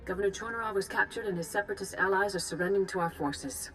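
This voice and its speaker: An actress playing a calm, grave senior Jedi leader giving formal, measured orders in a video game. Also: female voice, medium pitch, soft background music, lightly acted, rough recording. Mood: composed, satisfied. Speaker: commander giving a mission briefing. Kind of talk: video game cutscene acting.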